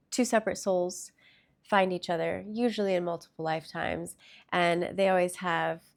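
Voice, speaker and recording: A woman's voice: on the low side, gravelly. Sniffly woman chatting through a tarot reading. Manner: chatty, upbeat, with fillers and little laughs. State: slightly congested from a cold. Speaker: tarot reader. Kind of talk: casual tarot reading to camera.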